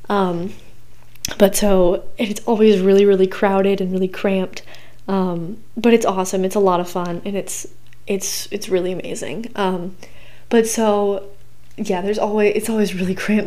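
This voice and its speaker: A young woman hosts her own podcast in a voice gone hoarse and scratchy. She rambles in a loose, chatty way, filling every pause with ums and uhs. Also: mid-range voice, dark tone. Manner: rambling, chatty, many fillers. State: hoarse, recovering from losing her voice. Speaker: podcast host. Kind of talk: casual solo podcast chat.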